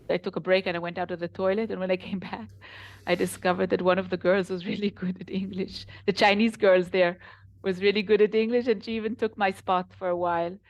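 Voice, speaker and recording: Israeli woman telling lively stories. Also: medium pitch. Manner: animated storytelling, laughs while talking. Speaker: translation company founder, interview guest. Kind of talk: casual interview conversation.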